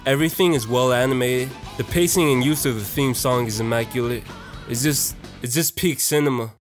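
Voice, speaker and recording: A man's voice: medium pitch, fairly quick. Slangy young man narrating over music. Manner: slangy, profane, irreverent. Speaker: video essayist. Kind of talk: casual opinionated video essay narration.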